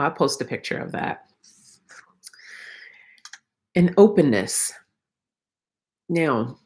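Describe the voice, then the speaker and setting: Croaky middle-aged woman chatting reflectively. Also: low voice. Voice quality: slightly froggy, croaky. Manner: reflective, encouraging, addressing viewers directly. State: tired, froggy throat. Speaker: host of a live oracle card reading. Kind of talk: casual spiritual livestream chat with viewers.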